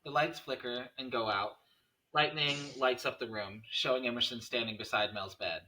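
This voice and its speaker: Bright-voiced man narrating a script. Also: medium pitch, normal speed, low-quality recording. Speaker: narrator reading stage directions.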